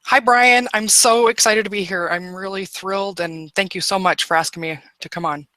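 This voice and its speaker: A woman's voice: medium pitch, fairly even intonation, normal speed, bright, forceful tone, dry recording. A woman nutritionist guest on a podcast, enthusiastic and eager. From Washington state, USA. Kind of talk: podcast interview.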